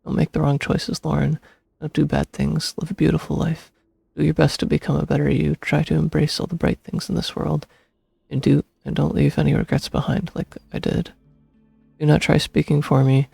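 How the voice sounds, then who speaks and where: A young man streaming a game, reading its text aloud and commenting casually, with a creaky, husky voice. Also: a little high, flat delivery, moderate pace, no room sound. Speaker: gaming streamer. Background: soft game music.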